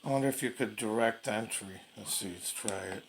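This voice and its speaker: A man giving casual, halting, low-key unboxing commentary, thinking aloud as a hobbyist reviewer. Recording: clearly noisy home video recording.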